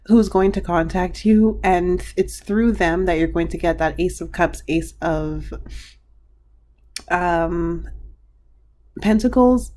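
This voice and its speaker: A woman giving a pick-a-card tarot reading in a chatty, meandering way, full of fillers and restarts, her voice slightly hoarse. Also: fairly low pitch, darkish tone.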